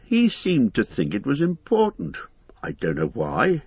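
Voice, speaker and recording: A man voicing a chatty, wry old male hobbit poet, talking briskly and with light indignation. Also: medium pitch, wildly swooping pitch, breathy edge, no room sound, dull audio, exaggerated voice.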